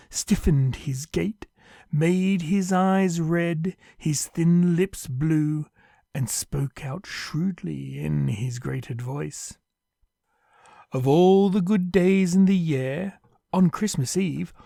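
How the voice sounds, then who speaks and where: A man narrating a classic tale for an audio play in a theatrical, deliberate, emphatic storytelling style. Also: a little high, gravelly, darkish tone, dry recording, clean studio sound.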